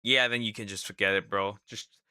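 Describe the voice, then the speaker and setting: A man's voice: lowish voice, fairly even intonation, brisk, bright, forceful tone, faint music behind. A man casually reacting to a video, talking in a laid-back, repetitive way and often asking "right?". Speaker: VTuber host reacting to a video.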